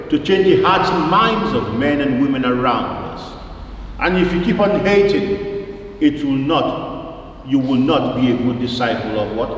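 A man preaching a sermon in an emphatic, deliberate way, repeating phrases for weight and putting questions to his listeners. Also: medium pitch. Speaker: preacher at a church service. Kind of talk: sermon with scripture quotation and rhetorical questions. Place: large reverberant church, indoors. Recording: very echoey church, distant mic, faint noise.

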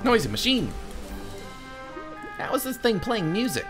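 A man voicing an earnest, excitable young male adventurer in game dialogue, inquisitive and dramatic. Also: high voice, unhurried, over music, pitched above the performer's own voice. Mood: curious. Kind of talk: game dialogue read aloud.

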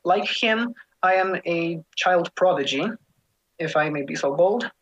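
Teen candidate, formal and wordy, webcam audio from home. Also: male voice, high-pitched, animated, unhurried, a bit bright, highs cut off. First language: English. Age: teens. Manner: formal, elaborate wording. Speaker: scholarship candidate. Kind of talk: reading a prepared statement, then answering questions. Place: home room. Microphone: webcam on a video call.

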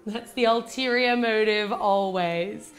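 A woman's voice: fairly high pitch, slow, soft background music. Upbeat Australian woman demonstrating a recipe. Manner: chatty, upbeat step-by-step instructions. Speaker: baking channel host. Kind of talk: cooking demonstration. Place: home kitchen, indoors.

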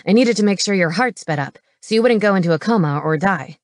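Woman voicing a brisk, easygoing young male medic. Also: mid-range voice, animated, no room sound, studio quality, close to an everyday voice. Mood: matter-of-fact. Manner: brisk, matter-of-fact. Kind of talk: paranormal romance audiobook character.

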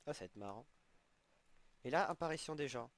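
A young man with a head cold, sniffling, casually commenting as he plays an online game and reacting excitedly to what he gets. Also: mid-range voice, fairly quick, no echo. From French-speaking. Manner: chatty, exclaiming at in-game rewards. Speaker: gaming channel host.

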